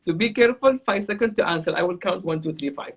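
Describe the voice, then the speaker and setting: A man's voice: sits high, fast talker, slightly rough. A man hosting an interview, upbeat and guiding the segments. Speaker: talk show host.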